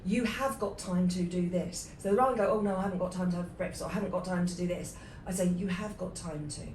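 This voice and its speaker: A woman co-hosting a podcast in casual conversation, speaking in a calm, measured, reflective way. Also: lowish voice, slight background noise. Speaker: podcast co-host. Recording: echoey room, slightly far from mic.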